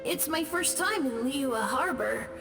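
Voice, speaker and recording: A shy little ghost boy in an anime game. The voice is high, soft and boyish. He speaks slowly and hesitantly, with faint music behind. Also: lively intonation, vocal fry, heavily acted. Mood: timid, apologetic. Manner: timid, hesitant, slow. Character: timid ghost of a lost young boy. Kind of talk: anime-style game voice acting.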